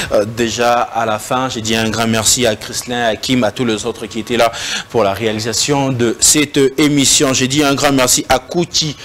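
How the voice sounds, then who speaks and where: A man hosting a casual interview, firing quick true-or-false questions in a relaxed way. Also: mid-range voice. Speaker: interview host. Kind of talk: casual interview in French mixed with Lingala. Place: indoor studio set.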